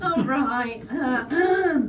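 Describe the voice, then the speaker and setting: A woman banters casually as a tabletop game player. She is slightly high-voiced and lively in pitch, picked up from a distance with echo. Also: very slow. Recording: echoey room, distant mic, group chatter.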